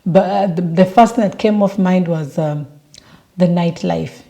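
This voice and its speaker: A woman's voice: lowish voice, unhurried, gravelly, warm tone. A young woman from Uganda chatting casually in a travel vlog, with lots of fillers and restarts. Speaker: vlogger.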